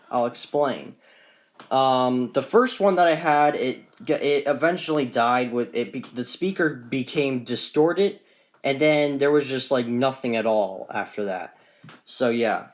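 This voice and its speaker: Man, medium pitch, casual unscripted collector walk-through, rambling and matter-of-fact, handheld phone audio. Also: lively intonation, unhurried. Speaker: gadget collector presenting his collection. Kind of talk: casual off-the-cuff show-and-tell.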